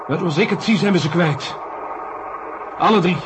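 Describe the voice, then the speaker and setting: A man's voice: sits high, moderate pace, lightly acted. A man playing a pessimistic technical expert in a 1970 radio drama, formal, precise and sombre. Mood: grave. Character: grave, pessimistic male technical expert briefing superiors. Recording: noisy 1970 radio recording.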